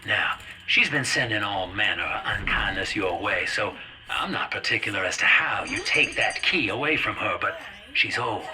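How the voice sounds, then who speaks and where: An actor playing a folksy, wisecracking hustler in a retro game, with a chummy drawl. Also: male voice, lowish voice, expressive, normal speed, lightly acted. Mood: casual, persuasive. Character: folksy, slick fast-talking male hustler guiding the player. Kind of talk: stylized retro game voice acting, colorful period slang. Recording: noisy radio-style transmission.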